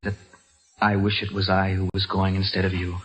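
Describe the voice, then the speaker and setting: An actor playing a brave, earnest young colonial volunteer who speaks formally in an old-time radio drama. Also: male voice, deep voice, completely flat delivery, moderate pace, fairly bright tone, low-quality recording. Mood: lovelorn. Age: young adult.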